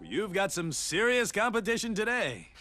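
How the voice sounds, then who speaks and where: A man's voice: high voice, sing-song, normal speed, sharp tone, a little hiss or hum, heavily acted. A man voicing a slick, smug broadcast reporter in a video game, with a showy, needling delivery. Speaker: racing show reporter. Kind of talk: exaggerated video game cutscene acting.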